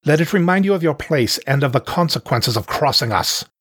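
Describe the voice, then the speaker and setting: A man's voice: fairly high pitch, lively intonation, a little fast, slightly rough, no echo, studio quality, pitched above the performer's own voice. Man voicing a mocking, menacing male panther gang leader. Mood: loud threat. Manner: mocking, theatrical, falsely gentle.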